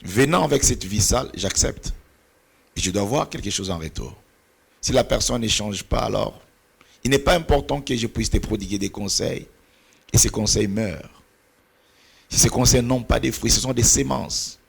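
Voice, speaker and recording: A man's voice: mid-range voice, moderate pace, vocal fry, breathy edge. A middle-aged man whose first language is Portuguese, speaking as a pastor and mentor in an earnest, preaching cadence, sometimes searching for words. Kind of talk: church talk on mentoring.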